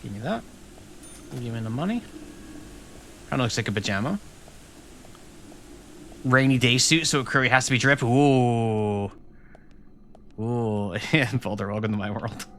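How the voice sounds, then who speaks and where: A man's voice: medium pitch, animated, unhurried, long pauses, fairly bright tone, slight background noise. A man with a Rhode Island accent, a game developer chatting casually on a live stream, rambling with lots of fillers and laughs.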